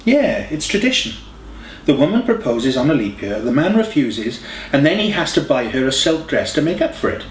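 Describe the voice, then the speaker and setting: A man's voice: on the high side, big pitch swings, normal speed, voice pushed up. A man voicing a playful, teasing young girlfriend with mock exasperation in an audiobook. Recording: echoey room, distant mic, faint hiss.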